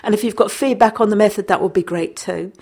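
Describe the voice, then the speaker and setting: A woman of middle pitch hosts a dream interpretation podcast. She talks in a steady, methodical way, laying out each step of her process before she reads a listener's email aloud. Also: fast, husky. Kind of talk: solo podcast narration.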